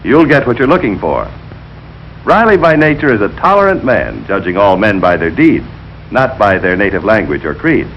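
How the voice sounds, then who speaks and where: A man's voice: lowish voice, big pitch swings, normal speed. A man narrating a 1940s radio comedy as its announcer, with wry, formal scripted delivery. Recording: dull, noisy 1940s radio recording.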